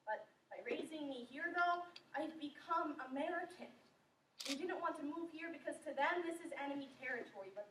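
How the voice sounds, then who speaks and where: A woman's voice: high voice, fairly quick, noisy background, lightly acted. A young woman acting a rebellious teenage daughter of strict parents in a stage play, with an earnest, defiant delivery. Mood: somber. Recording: echoey hall from far off.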